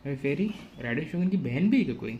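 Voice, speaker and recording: A man's voice: a little high, lively intonation, very fast, a little mellow, slight background noise. A man chatting casually and reacting as he livestreams a video game. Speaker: game streamer. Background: game music.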